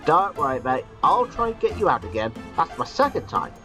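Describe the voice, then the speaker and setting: A man's voice: on the high side, very animated, fairly quick, sharp tone, pitched above the performer's own voice. A man doing a helpful, reassuring rescuer. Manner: reassuring, calls others mate. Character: helpful friendly male companion. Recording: dull lo-fi recording, faint music.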